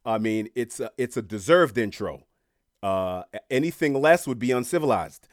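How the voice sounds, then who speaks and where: A man from Haiti hosting a podcast, telling stories with animated rises and dips in emphasis. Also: mid-range voice, normal speed, no room sound, clean studio sound. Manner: animated storyteller, rising and falling in emphasis. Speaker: podcast host. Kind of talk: casual podcast interview.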